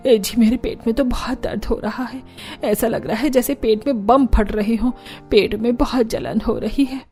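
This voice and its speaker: A woman's voice: a little high, fairly even intonation, normal speed, dark tone, soft background music, lightly acted. A woman voicing a kindly, placating middle-aged mother-in-law in a homely manner for a cartoon story. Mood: pained.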